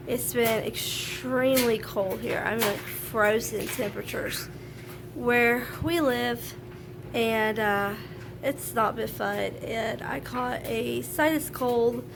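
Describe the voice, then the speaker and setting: A woman's voice: fairly high pitch, vocal fry, noisy background. Nasal woman with a sinus infection. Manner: frank, chatty, opinionated. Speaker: vlogger. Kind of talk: casual talk to camera. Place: at home, laundry running.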